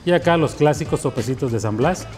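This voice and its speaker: A man's voice: on the high side, lively intonation, brisk, a little mellow. A man with a Mexican accent, presenting a culinary travel show as a chef and host. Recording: music under the voice. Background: background music.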